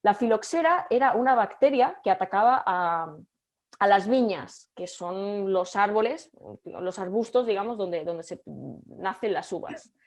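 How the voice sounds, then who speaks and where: A young Catalan woman with a Catalan Spanish accent, giving an online lecture over a video call and speaking haltingly with frequent fillers and restarts. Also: medium pitch, expressive. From Catalonia, Spain. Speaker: guest lecturer.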